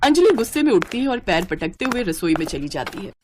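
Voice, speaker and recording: A woman's voice: medium pitch, very animated, a little fast, background noise. A woman reading scripted story narration as the storyteller.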